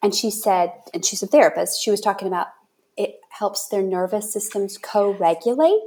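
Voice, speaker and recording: A woman with a medium-pitched voice chats as a podcast host. Her talk is informal and effusive, full of encouragement for the person she is speaking with. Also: normal speed, a little mellow. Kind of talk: casual interview chat.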